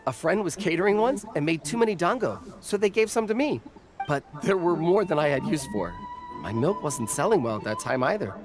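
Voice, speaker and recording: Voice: mid-range voice, animated, fairly quick, lightly acted. An actor voicing a humble, nervous young food-stall vendor in a video game, deferential, hesitant and earnest. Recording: music behind the voice.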